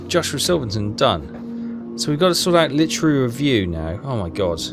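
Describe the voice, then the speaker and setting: A man's voice: medium pitch, sing-song, moderate pace, noisy background. A man giving a casual game walkthrough, muttering and questioning himself as he thinks aloud. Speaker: game streamer. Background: game music.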